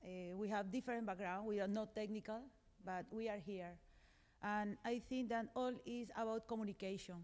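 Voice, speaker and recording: A Colombian woman speaks English on a conference panel, her Spanish accent clear as she pauses for words. Her voice sits at an ordinary pitch. It carries the long ring of a large hall. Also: steady tone, a little mellow. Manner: earnest, searching for words, frequent hesitations. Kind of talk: panel discussion.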